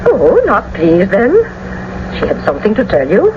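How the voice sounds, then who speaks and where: Teasing old nursemaid, chattering, theatrical, very lively pitch, muffled noisy radio drama recording. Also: female voice, moderate pace. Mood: prying. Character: bustling, teasing older nurse doting on her charge.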